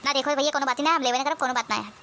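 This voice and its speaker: A woman's voice: sits high, very fast, sharp tone, slight background noise. A woman voicing a demanding, sulky village sister-in-law in a comedy cartoon, whining and quarrelsome in an Awadhi accent. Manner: whiny, quarrelsome, rustic.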